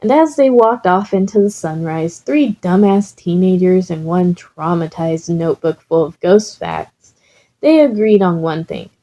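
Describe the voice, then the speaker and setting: A woman's voice: fairly low pitch, animated, measured pace, mellow, dull audio. A woman reading a comic story and chatting casually as the host. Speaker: fan fiction reader and host. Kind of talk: comic story narration, then casual chat.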